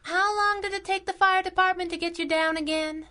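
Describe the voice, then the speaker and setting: A woman's voice: very high-pitched, steady tone, fairly quick, pushed and bright. A woman playing a brash, wisecracking masked crime-fighting sidekick in a pulp radio drama, sassy, taunting and slangy. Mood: mocking. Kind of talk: radio drama acting, pulp adventure serial.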